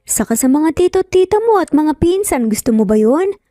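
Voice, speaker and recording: A woman voicing a calm, affectionate but firm male boss and father in a dramatised story. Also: sits high, moderate pace, no room sound, clean studio sound, voice pushed up. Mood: fatherly, gentle.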